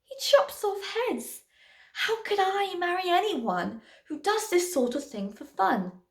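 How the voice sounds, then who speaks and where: Woman acting out shrill, bratty young Cinderella. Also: very animated, slow, a bit bright, reverberant, distant mic, full character voice. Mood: shocked. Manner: exclamatory, whiny, dramatic.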